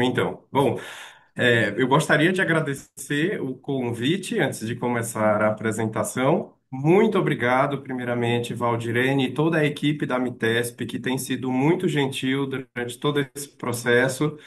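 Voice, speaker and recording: A man's voice: medium pitch, a bit bright. Man, Maranhão accent, polite online lecturer, hesitant delivery, video call sound. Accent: Maranhão Brazilian Portuguese. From Maranhão, Brazil.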